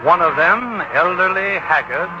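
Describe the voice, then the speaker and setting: A man's voice: high-pitched, expressive, unhurried, music in the background. A man hosting and narrating a 1940s radio drama in a scripted announcer's style. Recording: dull 1940s radio recording.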